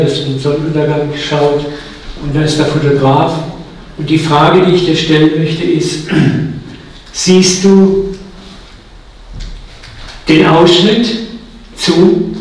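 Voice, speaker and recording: A man in his sixties preaching a sermon in a casual, personal and humorous way. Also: on the high side. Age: 60s. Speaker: guest preacher. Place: church hall. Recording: echoey hall, mic from far off.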